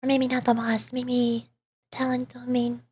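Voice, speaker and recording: A woman's voice: fairly high pitch, monotone, a little slow, darkish tone, husky. A woman giving casual, chatty livestream commentary, talking to herself as she plays a video game. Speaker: streamer, virtual YouTuber. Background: game music.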